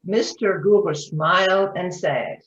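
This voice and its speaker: A woman reading scripted storybook narration in an animated way. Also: lowish voice, slow pace. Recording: muffled, echoey room recording.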